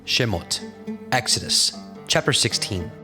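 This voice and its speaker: A man's voice: mid-range voice, animated, unhurried. A man narrating scripture from a script in a solemn, formal storytelling manner. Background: prominent music.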